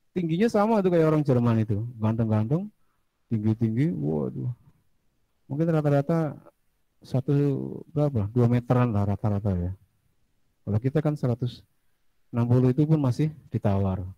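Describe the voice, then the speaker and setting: A man's voice: mid-range voice, mellow, no echo, rough recording. A man teaching a casual classroom lecture, chatty, asking students questions and drifting into asides.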